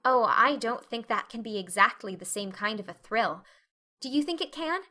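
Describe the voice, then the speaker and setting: A woman acts out a dreamy, talkative girl of eleven from a storybook. Her voice is high and bright. She gushes in a breathless, wide-eyed way. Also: lively intonation, normal speed. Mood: curious, eager. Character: dreamy, chatty, excitable eleven-year-old orphan girl. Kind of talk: dramatic audiobook reading.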